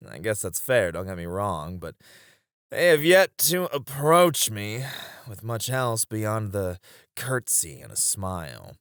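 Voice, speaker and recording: A man's voice: lowish voice, fairly bright tone, no room sound, studio quality. A man playing a confident, teasing knight bantering with an old comrade in an audio roleplay. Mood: wistful, reserved. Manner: playful teasing with frequent chuckles. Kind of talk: ASMR romance audio roleplay, one-sided dialogue.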